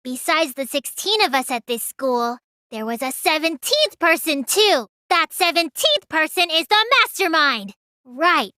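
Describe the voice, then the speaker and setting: A little teenage girl mage from an anime video game. Her voice is high and bright, swinging widely in pitch. She is earnest, excitable and pouty, with a childish way of talking that turns to stammering when flustered. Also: a little slow, no room sound, clean studio sound, exaggerated voice. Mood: pondering.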